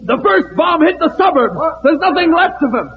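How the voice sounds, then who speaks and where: An actor playing a saboteur shouting false alarms to spread panic, in 1940s radio drama style. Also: male voice, very high voice, very animated, moderate pace, full character voice. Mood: frantic alarm. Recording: dull old radio recording with crowd uproar.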